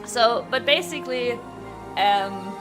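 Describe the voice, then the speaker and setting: A woman chatting casually as a game streamer, in a rambling, animated way with casual swearing. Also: on the high side, slow, rough recording. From Germany. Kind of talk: casual live gameplay chat. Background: music.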